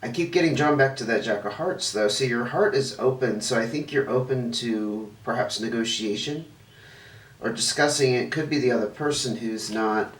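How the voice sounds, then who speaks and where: A man's voice: mid-range voice, normal speed. A man hosting his own channel, giving a casual tarot reading to camera, chatty and thinking aloud while he lays out cards, a bit stuffy and sniffling. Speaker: tarot reader, channel host. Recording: roomy, distant mic, faint noise.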